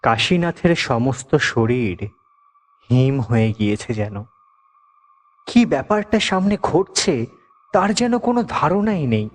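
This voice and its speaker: Slow, suspenseful male storyteller. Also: medium pitch, big pitch swings. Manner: dramatic, measured, suspenseful. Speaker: narrator. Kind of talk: scripted horror story narration.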